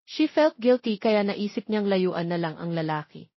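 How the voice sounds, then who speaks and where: A female audiobook narrator reads scripted romance. Her voice is mid-pitched with a slightly husky texture. The recording is close and dry but a little dull. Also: animated, unhurried, no echo.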